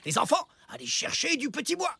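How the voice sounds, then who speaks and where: An actor voicing a learned village elder in a French children's cartoon, with a gravelly, creaky voice and an instructive manner. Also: male voice, extremely high pitch, sing-song, brisk, bright, forceful tone, full character voice. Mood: explaining. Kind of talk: French animated series dubbing.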